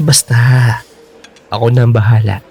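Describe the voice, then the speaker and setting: A man's voice: medium pitch, measured pace, vocal fry, slightly rough. A man playing a teasing, reassuring young boyfriend with a secret plan in a Filipino radio drama. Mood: playful, secretive.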